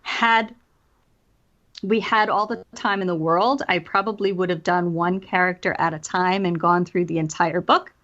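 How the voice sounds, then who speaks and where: A woman's voice: fairly low pitch, fairly even intonation, moderate pace, fairly bright tone. An American woman talking conversationally as an interviewed author and voice actor, explaining things in a chatty way with quoted asides. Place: remote call from separate location. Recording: dull, lo-fi call audio.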